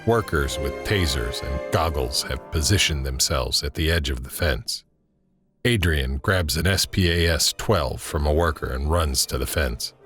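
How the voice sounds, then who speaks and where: A man narrating scene directions in a scripted audio drama, grave and measured. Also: rumbling low, a bit bright, breathy edge, faint music behind, heavily acted. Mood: measured, suspenseful.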